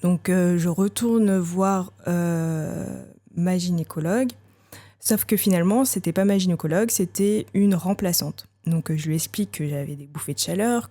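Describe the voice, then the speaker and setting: A woman in her thirties, a podcast guest telling her own story candidly, thinking aloud with frequent hesitant pauses. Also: fairly low pitch, mellow. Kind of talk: candid personal testimony.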